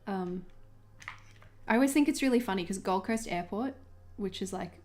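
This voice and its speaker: A woman from northern New South Wales with an Australian accent, chatting casually and quickly with livestream viewers while she crafts, often giggling. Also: mid-range voice, a little mellow. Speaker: journaling livestream host.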